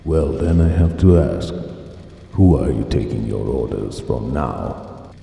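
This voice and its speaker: An actor playing a menacing, taunting military major in a sci-fi drama, with a gravelly voice and a slow, condescending delivery. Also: male voice, rumbling low, lively intonation, warm tone, full character voice. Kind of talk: cinematic sci-fi drama acting. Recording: roomy, distant, long reverb, faint noise.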